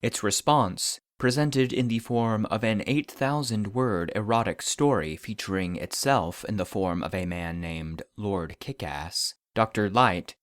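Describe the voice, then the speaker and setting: A man's voice: on the low side, measured pace, hardly any pauses, dry recording, studio quality. A man reading scripted fictional case files as a narrator, in a formal, clinical report manner.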